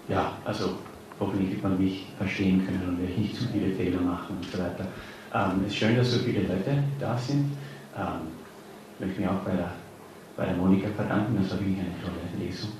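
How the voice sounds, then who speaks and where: Hesitant man, echoey hall. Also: deep voice. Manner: hesitant, many fillers and restarts. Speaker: author giving a reading. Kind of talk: informal introduction before a reading. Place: reading hall before an audience. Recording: echoey hall, distant mic, noise.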